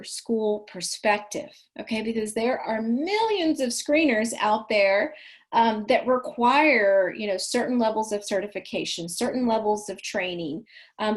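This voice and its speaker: A woman, her voice a little raspy, leading an online training for school counselors in a chatty, folksy way. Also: medium pitch. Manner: chatty, folksy, frequent ums and restarts.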